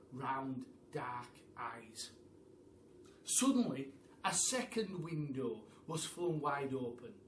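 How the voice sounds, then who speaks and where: A man reads a children's story aloud in a theatrical way, leaning on dramatic pauses and emphasis. His voice sits a little away from the mic in a roomy, echoing space, with faint noise behind it. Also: fairly high pitch, recorded from a distance. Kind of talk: animated storytime reading in a child narrator's voice.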